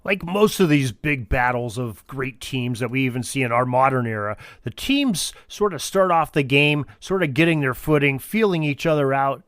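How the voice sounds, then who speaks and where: A man's voice: mid-range voice, no echo, studio quality. A man hosting a sports history podcast in a casual, conversational, enthusiastic way. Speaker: podcast host.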